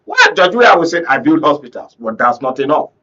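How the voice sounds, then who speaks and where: Nigerian guy on a political talk show, highish voice, emphatic and rhetorical, kinda muffled lofi audio. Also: sing-song, brisk, sharp tone. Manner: emphatic, rhetorical, checks listeners follow with questions. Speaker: panel guest, conflict reporter. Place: radio and TV studio discussion.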